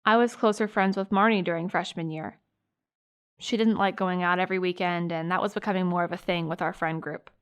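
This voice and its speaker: A young American woman playing a sympathetic, earnest high school girl recalling a friend in a naturalistic audio drama. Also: steady tone, fairly quick, clean tone, lo-fi sound, natural voice. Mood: reflective, fond.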